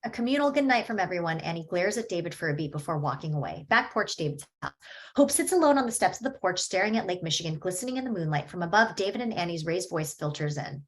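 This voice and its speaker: A woman's voice: on the low side, very animated, quick pace. Woman reading stage directions.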